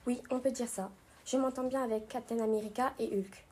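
A woman's voice: on the high side, steady tone, brisk, dark tone, slightly rough. A woman playing a confident female superhero spy, answering plainly and steadily. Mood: steady.

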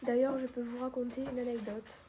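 A woman's voice: a little high, little pitch movement, brisk, background noise, close to an everyday voice. A woman playing a homely fifty-year-old hobbit. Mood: calm. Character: male hobbit, fifty, homebody tea lover. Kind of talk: amateur role-play interview.

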